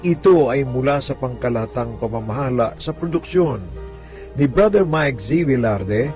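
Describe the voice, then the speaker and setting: A man giving a scripted radio drama announcement in a formal, measured manner. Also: medium pitch, expressive, darkish tone. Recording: radio announcement over music.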